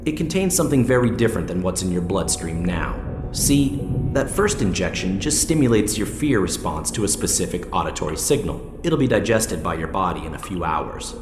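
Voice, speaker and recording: An actor playing a coldly controlling male interrogator and torturer in an audio drama, speaking in a deliberate, condescending, threatening way. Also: low-pitched, sing-song. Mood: cold menace. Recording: echoey reverberant hall with music.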